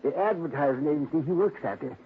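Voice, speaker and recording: A blustering older dog owner. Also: male voice, fairly high pitch, lively intonation. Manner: blustery, gruff, quick-tempered. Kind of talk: 1950s radio drama acting. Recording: tinny old radio broadcast, faint hiss.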